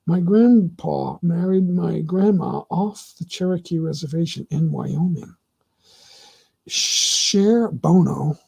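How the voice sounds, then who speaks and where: A middle-aged man hosting his own livestream, talking casually and answering chat in a rambling, opinionated way with many halting restarts. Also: on the high side, vocal fry, darkish tone, slightly rough. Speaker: livestream host.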